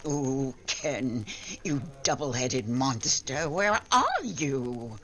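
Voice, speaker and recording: A woman's voice: very deep voice, big pitch swings, drawn-out words, a bit bright, slight background noise, full character voice. A woman playing a spy's sardonic, teasing sweetheart in 1940s radio style, with an arch, wisecracking delivery. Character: sardonic sweetheart of a secret agent, teasing and exasperated.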